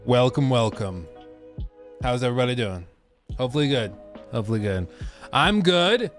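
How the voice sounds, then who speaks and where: A man's voice: mid-range voice, lively intonation, moderate pace, soft background music. A young adult man with a stuffed-up nose, hosting a casual live stream and rambling with lots of fillers. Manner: rambling, chatty, many fillers.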